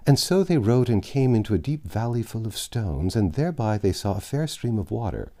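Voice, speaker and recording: A man narrating a classic novel aloud, expressive with wry asides and occasional self-corrections. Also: on the low side, fairly quick.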